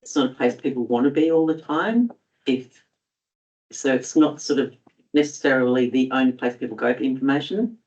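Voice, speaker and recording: A man's voice: mid-range voice, moderate pace, darkish tone. A man councillor speaking hesitantly in a council meeting debate. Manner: hesitant, with many fillers. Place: council chamber. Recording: dull audio from a distant mic.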